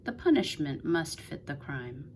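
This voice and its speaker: A woman voicing a stern, composed older village woman in a storybook, speaking in a measured, firm way. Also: deep voice, big pitch swings, a little mellow, slight background noise, exaggerated voice. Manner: measured, firm, matter-of-fact.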